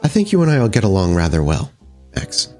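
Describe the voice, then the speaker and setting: A man voicing a courteous, guarded female vampire club owner in a tabletop game, with measured, formal phrasing. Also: fairly low pitch, huge pitch swings, darkish tone, faint music behind.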